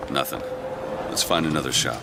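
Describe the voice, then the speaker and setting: An actor playing a gruff, world-weary private detective in a video game, speaking in terse, dry lines. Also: male voice, a bass voice, brisk, very noisy. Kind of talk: understated video game acting, noir. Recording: loud music under the voice.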